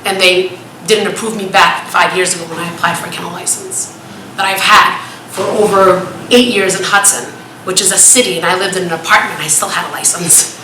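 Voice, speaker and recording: A woman speaking up at a town planning board hearing, asking pointed questions in a loud, rambling way. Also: on the low side, fairly even intonation, brisk. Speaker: resident speaking at public hearing. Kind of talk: public comment at a town meeting. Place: town hall meeting room. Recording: echoey meeting room with light noise.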